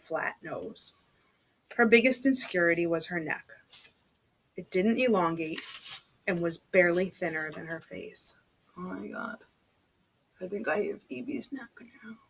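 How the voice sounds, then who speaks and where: A woman's voice: mid-range voice, husky. A woman reading a novel aloud as a storytelling host, chatty, dropping into casual muttered asides. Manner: chatty, breaks off to comment in undertones.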